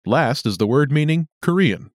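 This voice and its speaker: A man hosting a scripted language lesson with clear, upbeat announcer delivery. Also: on the high side, lively intonation, moderate pace, no room sound, studio quality. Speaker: lesson host.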